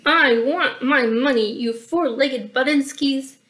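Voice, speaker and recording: A greedy, grumpy little troll bellowing for his money, loud and pushy, as a woman reading aloud puts him on. It is a storybook voice, raised and forceful. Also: high-pitched, slow pace. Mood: demanding.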